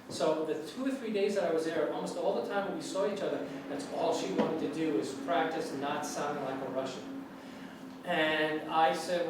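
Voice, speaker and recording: A man with a New York accent giving an English lecture to students, reassuring and rambling with many restarts. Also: fairly high pitch. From United States. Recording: echoey hall, distant mic, noisy.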